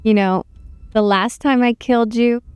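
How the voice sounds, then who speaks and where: A woman's voice: a little high, steady tone, moderate pace, darkish tone, natural voice. Taunting, menacing game princess played by a woman. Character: menacing, eager princess, taunting and relishing violence. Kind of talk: dramatic reading of a game script.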